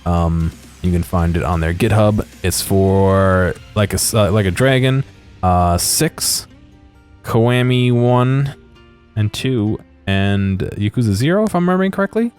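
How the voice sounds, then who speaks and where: A man giving unscripted commentary on video game footage in a hesitant, rambling, low-key way. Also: measured pace, darkish tone, clear, resonant tone, faint music behind.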